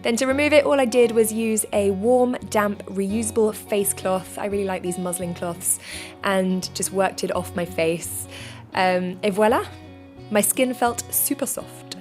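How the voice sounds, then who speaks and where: A woman with a slightly croaky voice, chatting cheerfully and self-deprecatingly through a how-to vlog. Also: mid-range voice, a little slow, darkish tone, faint music behind. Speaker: vlogger.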